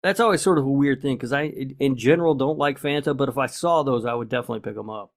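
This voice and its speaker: A man's voice: mid-range voice, fast talker. A middle-aged man co-hosting a podcast, chatting casually. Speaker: podcast co-host. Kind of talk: casual chat.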